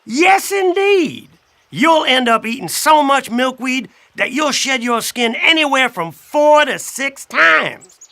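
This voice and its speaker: A man voicing a friendly, wry blackbird who tells a children's story in a folksy, chatty, expressive way. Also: very high-pitched, slow pace, exaggerated voice. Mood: friendly, enthusiastic. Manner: folksy, expressive, addresses listener directly. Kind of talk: animated children's audiobook storytelling.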